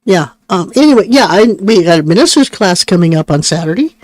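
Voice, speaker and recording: A woman's voice: fairly low pitch, animated, a little fast, darkish tone, dry recording. Chatty woman co-host, dry close sound. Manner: chatty, jumps in, frequent mm-hmms and light laughs. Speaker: co-host of a psychic call-in radio show. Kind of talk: casual chat. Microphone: live-stream studio mic.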